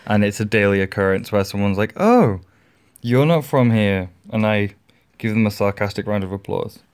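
A man's voice: on the low side, lively intonation, moderate pace, a little mellow, clear, resonant tone. Northern English man, dry casual talk. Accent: northern English, near Liverpool. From northern England. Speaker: guest in an accent comparison. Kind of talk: casual chat.

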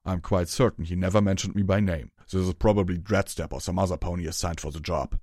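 A man voicing a gruff, blunt pony blacksmith mentor in an audiobook story, brusque and teasing with a rough edge. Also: deep voice, fairly even intonation, quick pace, darkish tone, no echo, clean studio sound. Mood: brisk proud.

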